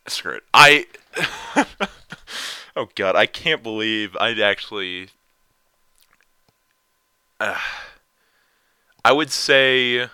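Young man, deep lively voice, unhurried self-deprecating rambler, dry close mic. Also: clear, resonant tone, no room sound. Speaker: vlogger answering viewer questions. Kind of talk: casual rambling chat.

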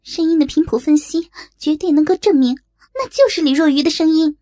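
Woman acting an urgent, high-pitched woman investigator. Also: a little fast, warm tone, close to an everyday voice. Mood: insistent. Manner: insistent, emphatic. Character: urgent, confident young woman investigator pleading her case. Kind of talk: audio drama acting.

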